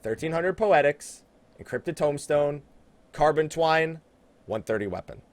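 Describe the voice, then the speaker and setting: A man hosting a casual gaming podcast, chatting in an animated, teasing way with a co-host. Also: on the high side, unhurried.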